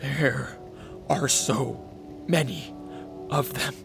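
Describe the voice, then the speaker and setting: A centuries-old male vampire, weary and full of melancholy. He speaks slowly, in radio drama style, with music playing clearly behind him. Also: mid-range voice. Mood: tense.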